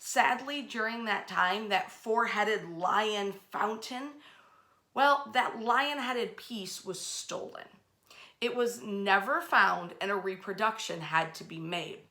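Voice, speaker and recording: A woman in her thirties hosting casual history storytelling to camera, with an emphatic, expressive delivery. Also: mid-range voice, slow pace. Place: bedroom, indoors. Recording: roomy, echoey indoor sound.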